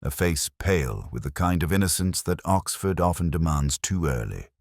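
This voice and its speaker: A very low male voice, dry and close, with a lot of movement in its pitch. a weary police inspector in an audio mystery drama, recounting his case in measured, literary phrases with a brooding, reflective air. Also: sing-song, vocal fry, no echo, exaggerated voice. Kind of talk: scripted first-person mystery narration.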